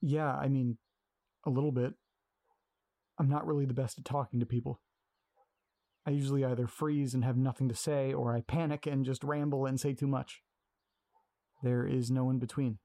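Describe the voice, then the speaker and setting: A man's voice: medium pitch, flat delivery, moderate pace, pauses often, warm tone, dry recording, studio quality, close to an everyday voice. An actor playing an anxious, awkward young man who hesitates and repeats himself in an audio drama. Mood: nervous.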